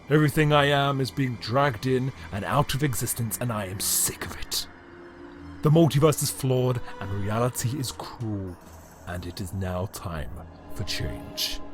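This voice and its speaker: An ancient, power-mad emperor played by a man holds forth in a theatrical audio drama monologue. The delivery is slow and grandiose, full of dramatic pauses and bitter menace. The pitch is medium for a male voice, with faint music behind it. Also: lightly acted. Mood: bitter, weary. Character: bitter, megalomaniac male emperor, ancient disembodied time-travelling being.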